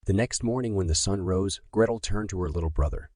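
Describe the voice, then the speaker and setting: A man narrating a children's bedtime story in a deliberate, dramatic storytelling style. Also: deep voice, darkish tone, dry recording, clean studio sound.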